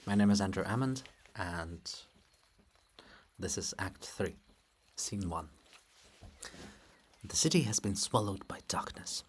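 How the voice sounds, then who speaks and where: A man narrating a dramatic play reading, delivering scripted stage directions in a measured way. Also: fairly low pitch, a little hiss or hum. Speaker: reader and narrator.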